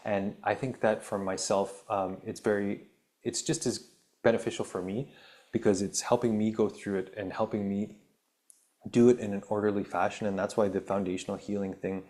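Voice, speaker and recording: A man hosting a spiritual healing podcast, reading an article aloud and then rambling casually with many ums, his voice turning raspy. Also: lowish voice, level tone, normal speed, a little mellow. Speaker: podcast host.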